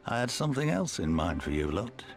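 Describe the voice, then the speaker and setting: An actor playing a blunt, weary resistance commander in a video game. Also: male voice, fairly low pitch, very animated, fairly quick. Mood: matter-of-fact. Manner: blunt, matter-of-fact. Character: gruff weary resistance commander, short of troops. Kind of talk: scripted video game dialogue. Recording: game audio with music underneath.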